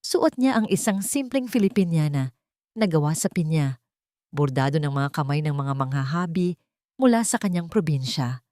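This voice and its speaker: A woman's voice: low voice, dark tone, dry recording, studio quality. A woman reading a scripted dramatic story as its narrator.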